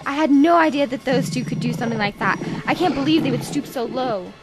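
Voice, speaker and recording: A woman's voice: high voice, fast talker, background noise, lightly acted. A woman playing a seductive, possessive, scheming femme fatale, theatrical and coy, in a noir radio drama. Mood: indignant, defensive. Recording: prominent music behind the voice.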